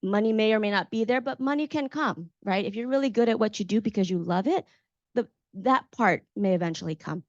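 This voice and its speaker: A woman's voice: medium pitch, fairly quick, darkish tone, dry recording, muffled recording. An American woman moderating a panel, telling personal stories to the audience in an earnest, emphatic, conversational way. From Maryland, United States.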